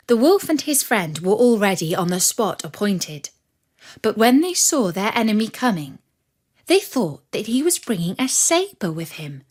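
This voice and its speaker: A woman narrating a fairy tale for children in an expressive, measured storytelling manner. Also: mid-range voice.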